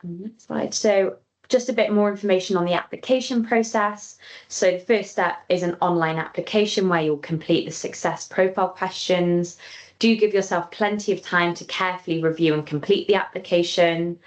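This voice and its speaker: A woman presenting a recruitment webinar in a clear, measured, slightly scripted way. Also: lowish voice, steady tone, low-quality recording. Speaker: Law Commission staff presenter.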